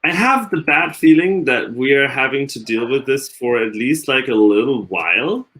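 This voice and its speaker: A man's voice: medium pitch, lively intonation, moderate pace, fairly bright tone. A man hosting an online event, chatting casually and introducing the next speaker in an upbeat, rambling way. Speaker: event host.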